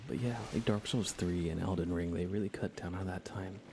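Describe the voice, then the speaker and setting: Male ASMR game streamer: very low, gravelly, hushed and casual, with clear background noise. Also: steady tone, fairly quick. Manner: hushed, often slipping into whisper. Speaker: ASMR livestreamer. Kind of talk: soft-spoken ASMR gaming commentary, casual.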